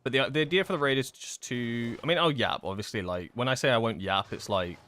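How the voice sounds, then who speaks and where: A young man giving casual livestream commentary while gaming, chatty and self-deprecating with excitable outbursts, his voice going hoarse. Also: medium pitch, brisk. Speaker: gaming streamer.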